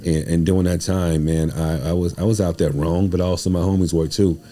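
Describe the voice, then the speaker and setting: Very deep, mellow-voiced man in his forties. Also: level tone, brisk. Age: 40s. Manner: earnest, informal street-inflected talk. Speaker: playwright and producer, podcast guest. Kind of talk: casual interview storytelling.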